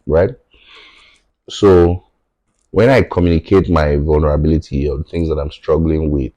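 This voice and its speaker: A Nigerian man whose first language is Yoruba, a podcast guest, talking in a measured, thoughtful way and often checking in with "right?". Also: extremely low pitch, dark tone, no room sound. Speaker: podcast guest, tech founder. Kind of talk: reflective conversational interview.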